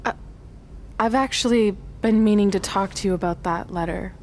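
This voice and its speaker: A woman's voice: mid-range voice, normal speed, slight background noise, close to an everyday voice. An actress playing a hot-tempered, determined young woman wrestler in a video game, with a blunt manner. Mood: downcast, hesitant. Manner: blunt, combative. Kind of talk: video game cutscene acting.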